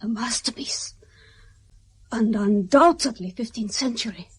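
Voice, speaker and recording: A high, husky female Soviet art expert in a radio drama. She sounds cool and astute, weighing her words with precision. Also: unhurried. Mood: precise.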